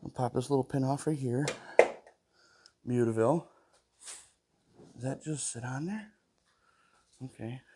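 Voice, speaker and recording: A man's voice: medium pitch, a little slow, long pauses, warm tone, husky. A man with a stuffy nose talking to himself while he takes apart an old mower in his workshop, humming between tasks. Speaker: small engine repair vlogger. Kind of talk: casual talk while working.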